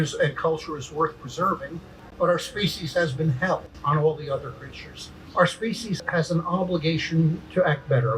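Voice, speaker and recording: Man, fairly high voice, earnest, halting reflective phrasing, light ambient noise. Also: rough recording. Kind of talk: earnest informal speech. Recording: faint background noise and ambience.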